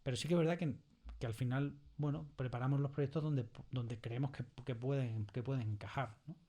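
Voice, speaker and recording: Male interview guest, Spain accent, mellow mid-range voice, conversational, emphatic through repetition. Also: medium pitch, fairly quick. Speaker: audio fiction scriptwriter, interview guest.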